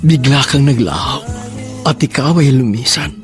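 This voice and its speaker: A man's voice: mid-range voice, normal speed, music in the background. A man hosting a radio drama program, reading poetic lines and a listener's letter in a solemn narrating style.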